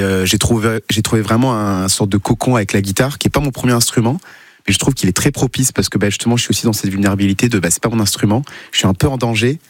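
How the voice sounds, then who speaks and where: Fast-talking man, dry studio sound. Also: on the low side, breathy edge, no echo. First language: Portuguese. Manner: thoughtful, searching for words with many fillers. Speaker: musician guest. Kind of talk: radio interview.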